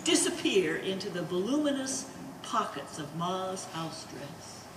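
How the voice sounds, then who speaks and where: A woman's voice: mid-range voice, sing-song, a little slow. A woman reading stage directions as scripted narration for a live play. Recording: distant in echoey gallery, faint hiss.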